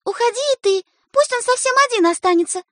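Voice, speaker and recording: A woman's voice: extremely high pitch, expressive, normal speed, no echo, studio quality, voice pushed up. A woman voicing a bossy little girl who coaxes and lectures her younger brother. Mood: shrill. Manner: wheedling, then stern and preachy. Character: bossy little girl, coaxing older sister.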